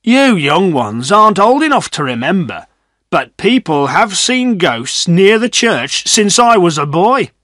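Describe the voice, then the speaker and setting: Elderly male villager, performed by a man reader: insistent storybook old-timer, unhurried, expressive delivery. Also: sits high, no echo, studio quality, voice pushed up, heavily acted. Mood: earnest. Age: older. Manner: earnest, insistent reminiscing.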